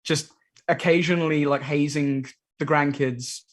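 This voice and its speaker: A man's voice: a little high, steady tone, measured pace. A man on a panel making wry, joking remarks in a casual film commentary.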